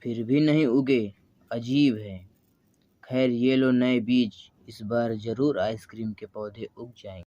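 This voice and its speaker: A man voicing a calm, benevolent tree god in a cartoon moral story, speaking in a measured, formal, fatherly way. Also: mid-range voice, no echo, dull audio, natural voice. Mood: calm, puzzled. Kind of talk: Hindi animated moral story acting.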